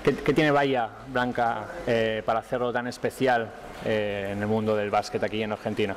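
A man's voice: fairly low pitch, level tone, moderate pace, slight background noise. A man in his thirties introducing a guest and asking questions, a little hesitant and repeating words. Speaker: interviewer. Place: indoor room, seated on a couch. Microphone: handheld mic.

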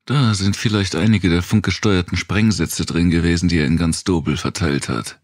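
A man's voice: low voice, fairly even intonation, normal speed, dry recording, clean studio sound. A man voicing a tough, wary woman survivor with a blunt, explanatory manner in an audiobook. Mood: explaining, wary.

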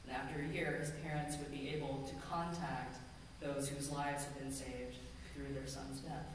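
Woman minister, middle-aged, measured sermon delivery, heard from afar in a long-reverb sanctuary. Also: extremely low pitch. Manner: measured, earnest, slows for emphasis. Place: large church sanctuary, at a pulpit. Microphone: pulpit gooseneck mic, far camera. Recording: echoey hall from far off, ambient noise.

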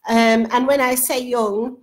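A chatty middle-aged woman hosts a casual webcam stream from a living-room sofa. Her voice sits a little high for a woman, and she talks loosely, restarting sentences as she reads out comments. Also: steady tone, a little slow. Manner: chatty, warm-toned aside to her daughter, many restarts. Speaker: host. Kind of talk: casual live-stream chat reading comments. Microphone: webcam at close range.

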